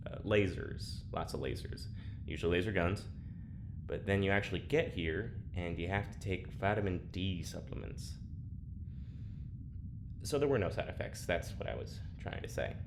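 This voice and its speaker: Low-voiced, wry, rambling prisoner. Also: male voice, background noise. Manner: hesitant, rambling, deadpan sarcasm. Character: wry, awkward male space prisoner recording an audio diary. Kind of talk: naturalistic audio drama acting.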